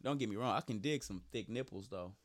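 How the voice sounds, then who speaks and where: A man in casual comedic chat whose voice is going hoarse, riffing loosely and cracking profane jokes. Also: fairly low pitch, fast, a crowd in the background. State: losing his voice.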